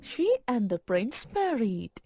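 Slow, high-voiced woman storyteller, loud music behind. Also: sing-song. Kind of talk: scripted children's fairytale narration. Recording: loud music and effects over voice.

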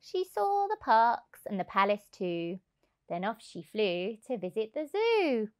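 A woman, around her thirties, reading a children's rhyming storybook aloud in an expressive, rhythmic way. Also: fairly high pitch, measured pace, clear, resonant tone. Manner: animated, rhythmic, emphatic delivery. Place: indoors on a sofa. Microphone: clip-on lapel mic.

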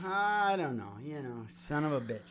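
A man's voice: on the high side, big pitch swings, moderate pace, a bit bright. An older man, a musician hosting a show, rambling casually between songs in an irreverent, folksy manner. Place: small indoor room with wood-panelled wall. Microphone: microphone on a stand. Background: acoustic guitar.

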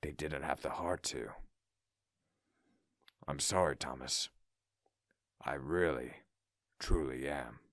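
A man voicing a stern but compassionate male railway controller, grave and gentle, in a children's storybook. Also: on the low side, expressive, moderate pace, no room sound, studio quality. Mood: sombre, consoling. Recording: music behind the voice.

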